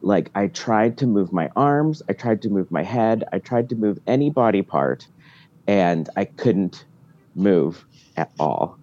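A woman calling in by phone to tell a personal story, giggly and emphatic with dramatic pauses. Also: very deep voice, animated, dark tone. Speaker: podcast caller. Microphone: phone call. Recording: dull, dry phone-call sound.

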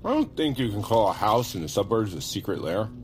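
A man's voice: lowish voice, very animated, fairly quick. An actor playing a dry, confident, nitpicking man on a stakeout in a naturalistic audio drama. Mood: dry, exasperated. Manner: casual, dry.

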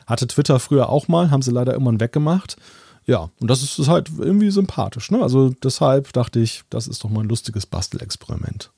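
A man co-hosting a podcast, talking casually and explaining things in a relaxed way. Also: medium pitch, fast talker, creaky, slightly rough, no room sound, clean studio sound. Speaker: podcast co-host. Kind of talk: casual chat.

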